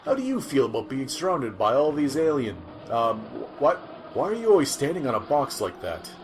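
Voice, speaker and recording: A man's voice: medium pitch, big pitch swings, normal speed, slight background noise, natural voice. A man playing a clueless, pushy field reporter in a comic sci-fi audio drama. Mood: curious. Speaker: news reporter interviewer.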